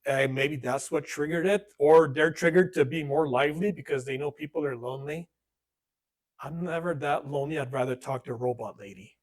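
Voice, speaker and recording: A man's voice: fairly high pitch, brisk. A man teaching a casual class on online safety, chatting with jokes and acting out dialogue. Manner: conversational, joking asides, acts out dialogue. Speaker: workshop instructor. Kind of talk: informal classroom lesson.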